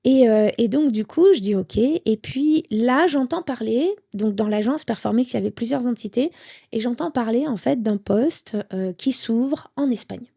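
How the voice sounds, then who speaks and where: A woman's voice: fairly high pitch, normal speed, vocal fry, breathy edge. A woman in her thirties, a guest telling a personal story candidly, acting out the lines of people she quotes. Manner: animated storytelling with quoted dialogue. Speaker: interview guest.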